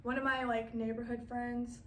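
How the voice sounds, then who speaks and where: A woman's voice: a little high, flat delivery, normal speed, a bit bright. A woman, a podcast guest telling a story in a chatty way and laughing as she goes. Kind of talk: casual storytelling. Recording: echoey room, mic at a distance, faint noise.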